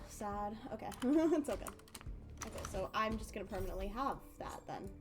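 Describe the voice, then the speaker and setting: A woman's voice: medium pitch, expressive, normal speed, music in the background. A woman live-streaming a game, chatting casually and rambling as she thinks aloud.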